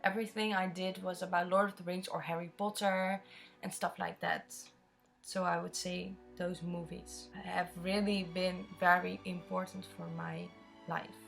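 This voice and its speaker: A woman's voice: fairly low pitch, little pitch movement, normal speed, gravelly, fairly bright tone. Chatty young Dutch woman, music behind. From Netherlands. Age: 20s. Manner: chatty, open, rambling storyteller. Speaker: vlogger answering viewer questions. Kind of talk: casual chat.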